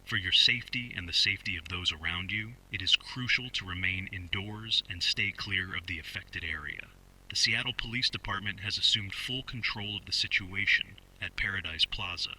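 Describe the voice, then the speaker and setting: A man playing a stern police spokesman reading a scripted emergency broadcast in a formal, measured, official manner with a husky voice. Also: deep voice, sharp tone, exaggerated voice, rough recording. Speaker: public emergency announcer.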